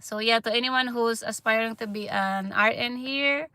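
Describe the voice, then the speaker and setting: A woman's voice: a little high, sharp tone, dry recording. A woman in her thirties from the Philippines with a Filipino accent, chatting on a livestream with many fillers and restarts. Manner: halting, many fillers and restarts, laughs often. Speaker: livestream vlogger. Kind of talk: casual livestream chat.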